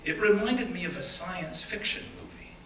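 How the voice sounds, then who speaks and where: A man giving a first-person dramatic reading of an oral history, measured and deliberate, often dropping to hushed phrases. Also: sits high, lively intonation. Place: stage or event room. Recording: roomy, distant mic with faint background noise.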